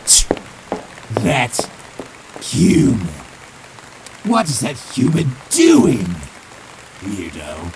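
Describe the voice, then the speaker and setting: A woman's voice: extremely low pitch, huge pitch swings, gravelly, background noise, full character voice. A woman voicing a small, malicious talking flower in a fan comic dub, mocking, bitter and theatrical. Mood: menacing, suspicious. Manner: mocking, theatrical, drawn-out menace.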